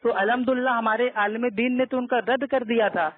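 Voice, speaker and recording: Male debater, strikingly high pitch, pushy and repetitive in argument, heard down a narrow telephone line. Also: fairly even intonation, fast. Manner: insistent, argumentative, repeats himself. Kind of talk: heated religious debate on a call. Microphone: phone call. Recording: tinny phone conference line.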